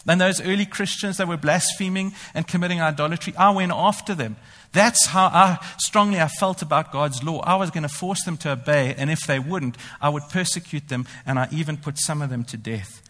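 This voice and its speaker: A man's voice: on the high side, fairly quick, fairly bright tone. A man preaching a sermon. He talks animatedly, often speaking in the first person as the apostle and boasting ironically. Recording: long hall reverb.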